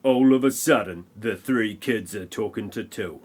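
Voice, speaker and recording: A man's voice: lowish voice, a little slow, darkish tone. A man narrating a comic parody audio play in a wry, mocking manner.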